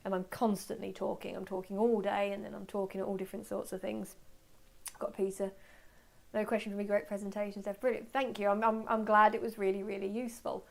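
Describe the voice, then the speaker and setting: A woman giving an informal live webinar as a workshop presenter, chatting away in an excitable, self-deprecating manner and taking audience questions. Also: medium pitch, a little fast, gravelly. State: voice slightly going from talking.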